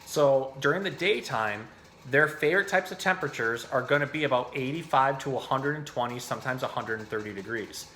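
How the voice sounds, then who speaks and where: A man's voice: mid-range voice, a little fast, sharp tone. A man presenting informally as a zookeeper hosting an educational animal storytime, explaining things in plain, everyday language. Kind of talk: casual educational talk and storybook reading.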